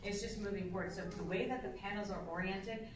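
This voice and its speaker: A woman's voice: medium pitch, fairly even intonation, fast, slight background noise. A woman droid builder speaking on a convention panel, explaining in detail with lots of fillers. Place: large convention hall panel room. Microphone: table mic recorded by a far camera in the audience. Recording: echoey hall from far off.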